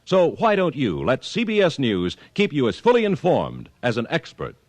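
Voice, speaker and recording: A man's voice: medium pitch, huge pitch swings, normal speed, heavy background noise. An American man reading scripted copy as a polished, formal 1950s radio announcer.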